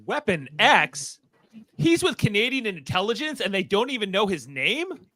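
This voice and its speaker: A man's voice: high voice, very animated, moderate pace, bright, forceful tone, dry recording, exaggerated voice. A man playing an earnest, hot-tempered young team leader in radio theatre, urgent and indignant in manner. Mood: incredulous. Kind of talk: live-read radio theatre acting.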